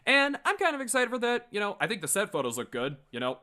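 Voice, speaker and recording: Guy livestreaming his fan opinions, kinda high voice, really up and down, blunt and sweary. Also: very animated, fast talker, pushed and bright. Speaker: livestream host. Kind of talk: casual opinionated fan commentary to a live chat.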